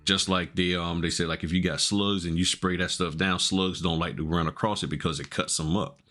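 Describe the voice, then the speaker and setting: Male podcast co-host, American, low register, colloquial and folksy. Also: fairly even intonation, fairly quick, pushed and bright. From Florida, United States. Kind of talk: casual chat.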